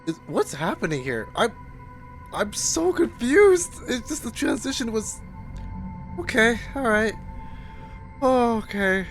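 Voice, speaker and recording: Casual reaction streamer, very animated intonation, exclamatory, light game music underneath. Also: mid-range voice, slow, pauses often. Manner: emotional, exclaiming, swearing.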